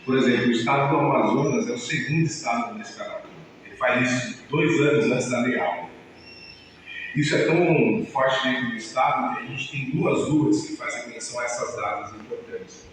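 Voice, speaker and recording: A man's voice: medium pitch, moderate pace. A man from São Paulo, speaking earnestly as an activist interviewee, tagging sentences with "né" and restarting phrases. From São Paulo, Brazil. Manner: earnest, with frequent "né" tags and restarts. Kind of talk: reflective personal interview. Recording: echoey, long-reverb room, mic far off, faint hiss.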